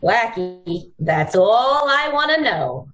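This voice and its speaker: Woman as female radio schemer, flirtatious, gushing, coaxing, very lively pitch, unhurried. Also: music in the background. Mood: coaxing, sweet. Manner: cooing, drawn-out, sugary. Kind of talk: old-time radio drama acting.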